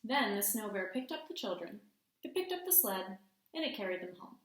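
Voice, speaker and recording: A woman teacher reading a storybook aloud to children, expressively, pausing to put questions to them. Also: medium pitch, big pitch swings. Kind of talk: storybook read-aloud with class discussion. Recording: echoey room.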